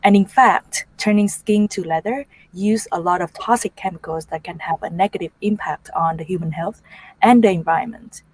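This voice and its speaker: A woman's voice: fairly low pitch, normal speed, no echo, rough recording. A woman from Vietnam whose first language is Vietnamese, presenting her startup as its CEO with an earnest manner, frequent ums and non-native grammar.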